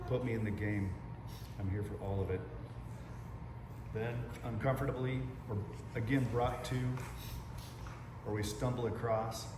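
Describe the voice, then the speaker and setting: Low-voiced man preaching in an echoey room. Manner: slow, unhurried, conversational with casual swearing. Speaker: pastor. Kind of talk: reflective spoken sermon. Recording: roomy, distant mic with room noise.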